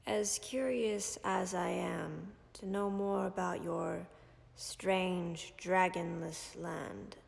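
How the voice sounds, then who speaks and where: Slow husky-whisper dragon lady, a woman doing her, gravelly and a bit low, dry and commanding, audio roleplay. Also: steady tone, long reverb tail, heavily acted. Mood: calm. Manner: measured, formal, dryly commanding.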